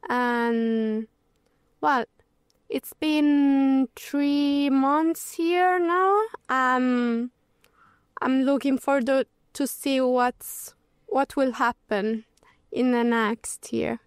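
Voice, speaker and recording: A high, very clear voice from a woman in her late twenties with an Italian accent. She introduces herself slowly as a student, without rushing. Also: no echo, studio quality. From Rome, Italy. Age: late 20s. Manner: slow, unhurried. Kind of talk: self-introduction.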